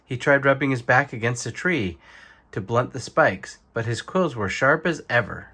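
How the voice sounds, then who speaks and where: A man reading a children's bedtime story aloud in an expressive narrating style. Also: medium pitch, normal speed, husky. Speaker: storybook reader.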